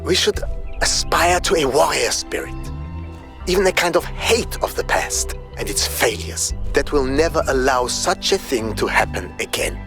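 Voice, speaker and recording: A man playing a grave, philosophical robot explorer who scorns nature, delivering a measured, eloquent game audio log monologue. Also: on the high side, animated, vocal fry, music in the background. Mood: indignant.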